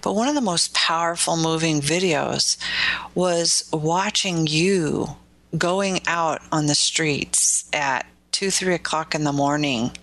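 Low, gravelly, bright-toned woman host. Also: slow pace. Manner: enthusiastic, affirming. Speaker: interview host. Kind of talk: warm podcast interviewing.

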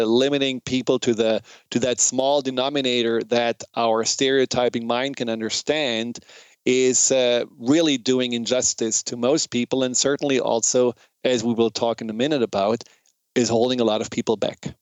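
A man's voice: mid-range voice, steady tone, moderate pace, smooth, no room sound. A man from Germany with a German accent speaks in an earnest, reflective way, explaining ideas in an informal educational monologue.

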